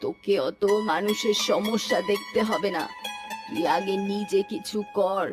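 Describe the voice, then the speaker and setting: A woman's voice: medium pitch, a little slow, natural voice. A woman playing a worried middle-aged mother in a cartoon, reproaching and pleading with her grown son. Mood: reproachful. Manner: nagging, pleading. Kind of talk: Bengali moral-story cartoon voice acting. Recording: plucked string music behind.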